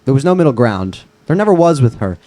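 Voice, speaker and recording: A man acts out a young man wounded by lost love, in a drama read from a script. His voice is mid-pitched. He speaks earnestly and reflectively, with grief and quiet resolve. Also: sing-song, fast talker, natural voice. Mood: grieving, reflective. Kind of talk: screenplay table read.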